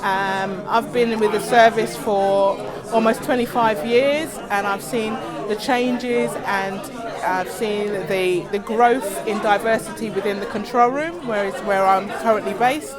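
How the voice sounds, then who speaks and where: A woman's voice: mid-range voice, unhurried. A middle-aged woman giving an informal interview answer as a long-serving ambulance control room worker. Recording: crowd chatter in large room. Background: crowd at indoor event.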